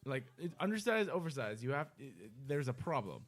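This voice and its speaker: A man's voice: medium pitch, sing-song, brisk, dry recording. A man co-hosting a casual podcast, chatting in a rambling way with plenty of swearing. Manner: rambling, profane. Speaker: podcast co-host. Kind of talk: casual uncensored podcast chat.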